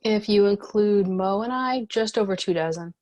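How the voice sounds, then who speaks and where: A woman's voice: mid-range voice, moderate pace, darkish tone, close to an everyday voice. A woman playing a guarded, modest ex-bounty cop and tough leader, speaking cautiously and matter-of-factly in a screenplay read. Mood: softening. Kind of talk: screenplay table read, dramatic dialogue.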